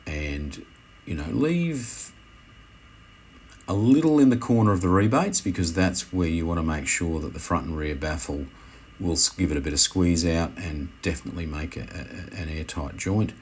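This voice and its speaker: Male instructor, extremely deep register, unscripted tutorial voice-over with hesitant phrasing, some noise behind the voice. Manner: hesitant, halting, self-correcting. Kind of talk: unscripted how-to voice-over commentary.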